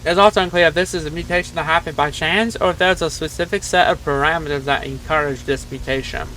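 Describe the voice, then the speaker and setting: A man's voice: a little high, quick pace, sharp tone, a little hiss or hum, rough recording. A man giving casual reaction commentary, sometimes reading text aloud, as the host of a horror video reaction.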